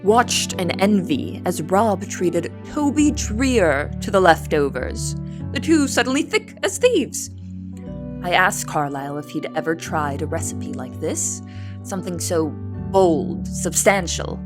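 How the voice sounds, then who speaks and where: A woman acts as an earnest, curious young cook telling her story in an audio drama. Her voice sits a little low, and her wording is formal and reflective. Music plays clearly behind her. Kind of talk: audio drama first-person narration and dialogue.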